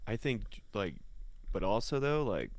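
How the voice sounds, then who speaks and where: A man's voice: lowish voice, very animated, unhurried, no echo. An American man chatting casually as a guest, rambling and joking as he tells stories. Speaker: musician and guest on the show. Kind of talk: casual chat, storytelling.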